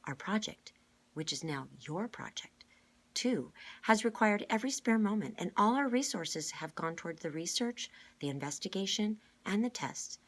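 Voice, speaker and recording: Storybook guardian: reader as a gentle, courteous young woman, slightly husky, measured and reassuring. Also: medium pitch, lively intonation, pitched above the performer's own voice.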